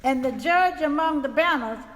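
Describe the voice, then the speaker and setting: A woman's voice: sits high, a bit bright, slight background noise. A woman playing a grieving 19th-century widow, recounting events solemnly in a dramatic reading. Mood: agitated. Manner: slow, deliberate, solemn storytelling. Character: grieving widow recounting her husband's murder, 1875 Mississippi. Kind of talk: dramatic reading of historical testimony. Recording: reverberant hall.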